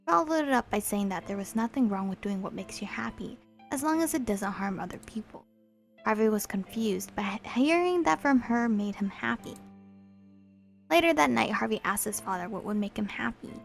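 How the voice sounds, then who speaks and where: A woman's voice: a little high, animated, moderate pace, vocal fry, faint music behind. A young woman reading a visual novel aloud for a let's play, with chatty, apologetic asides between the lines.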